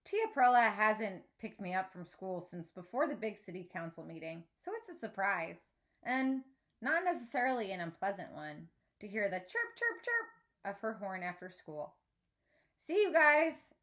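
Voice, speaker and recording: A woman narrating a story aloud in a measured, expressive read-aloud style, like a teacher reading to pupils. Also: mid-range voice.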